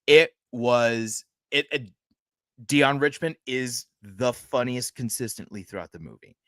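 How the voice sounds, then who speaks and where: A man co-hosting a horror movie podcast in casual chat, excitable, laughing often and swearing when worked up. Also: mid-range voice, measured pace, dry recording, studio quality. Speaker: podcast co-host.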